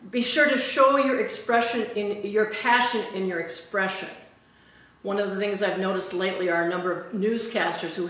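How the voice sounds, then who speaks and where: A woman's voice: medium pitch, fairly bright tone. A woman giving a public speaking lesson at a podium, speaking carefully and enunciating each word. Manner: careful, deliberate, clearly enunciated. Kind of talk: instructional demonstration at a podium. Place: church hall, speaking at a podium. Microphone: camera at a distance. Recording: very echoey hall from far off, faint noise.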